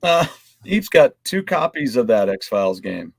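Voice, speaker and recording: A man's voice: medium pitch, sing-song, a little slow, vocal fry, dry recording. Man chatting casually, close dry sound. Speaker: podcast co-host. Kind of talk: casual group chat, reading out items.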